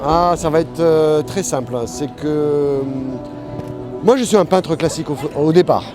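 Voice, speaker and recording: A man's voice: a little high, moderate pace. A man being interviewed as a painter, talking casually and enthusiastically outdoors at a festival. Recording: music behind, outdoor event.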